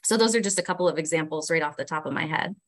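A woman's voice: deep voice, very fast. A woman teacher sharing classroom stories in a panel discussion, with frequent fillers. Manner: anecdotal, with fillers and restarts.

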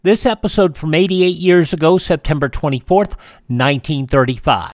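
A man hosting a classic radio program, introducing old shows in a conversational, measured way. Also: on the high side, noisy background. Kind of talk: presenting, introducing archive shows.

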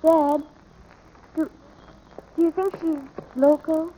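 A woman's voice: high-pitched, little pitch movement, takes it slowly, warm tone, poor audio. A performer voicing an eager, anxious young American ranch boy, earnest and hesitant, in 1940s radio drama style. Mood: worried. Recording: vintage radio drama recording.

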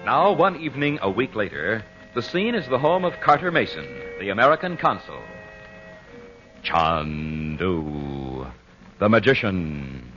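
A man announcing and narrating an old-time radio show in a theatrical, deliberate, emphatic scripted style. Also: lowish voice, sing-song. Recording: dull old radio recording, faint music.

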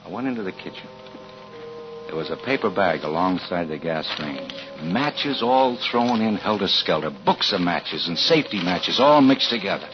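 Over dull vintage radio sound with music behind, an uneasy, suspicious husband. His low voice tells the story in halting, deliberate phrases, tense and very animated in pitch, in 1940s drama style. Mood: suspicious, controlled. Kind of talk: 1940s radio acting with first-person narration.

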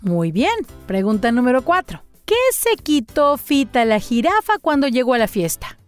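A woman's voice: on the high side, sing-song, moderate pace, faint music behind. A woman hosting a children's story channel, running a playful quiz and praising each answer in a coaxing way.

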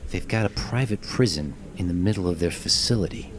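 Guy doing insistent reporter, lowish voice, halting and pleading, noisy recording. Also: lightly acted. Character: earnest, insistent, beleaguered male reporter. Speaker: actor in a table read. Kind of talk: comic-book film script table read.

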